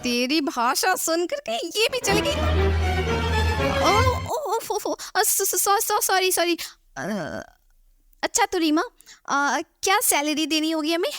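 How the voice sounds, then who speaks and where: A woman voicing a bossy, quick-tempered young daughter-in-law in an animated story, with a dramatic delivery. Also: extremely high pitch, faint music behind, exaggerated voice. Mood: flustered. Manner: indignant, dramatic. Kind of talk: Hindi animated moral story voice acting.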